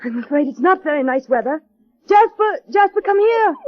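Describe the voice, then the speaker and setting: Timid anxious young wife in old radio drama, very thin tinny vintage recording. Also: female voice, high-pitched, expressive, normal speed. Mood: polite, coaxing. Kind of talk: 1940s radio acting.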